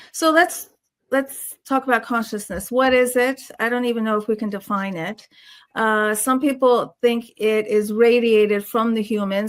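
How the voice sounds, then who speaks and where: A woman with a somewhat high-pitched voice works as a podcast host. She puts questions to her guest in a conversational interview style.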